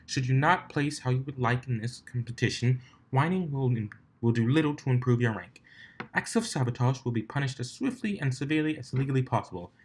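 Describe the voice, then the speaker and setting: A man's voice: mid-range voice, animated, brisk, slightly rough, pitched above the performer's own voice. A man voicing a strict, formal female pony announcing competition rules in a stern, clipped, dryly menacing manner for a fan story reading.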